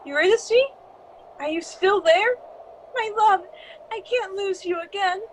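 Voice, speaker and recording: A woman's voice: very high voice, measured pace, a little hiss or hum, poor audio. A woman playing a desperate, devoted mythical musician in a melodramatic radio drama.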